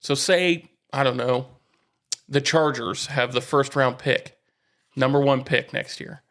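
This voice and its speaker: A man's voice: medium pitch, fairly even intonation, unhurried, a bit bright. An American man co-hosting a sports podcast, arguing his points emphatically in casual, informal banter.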